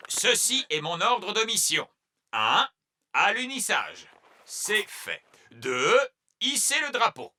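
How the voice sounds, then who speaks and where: Excitable elf astronaut, wildly expressive. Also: male voice, high-pitched, slow pace, exaggerated voice. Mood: officious. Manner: emphatic, officious. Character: male elf astronaut, methodical, excitable and exasperated. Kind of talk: French cartoon dub acting.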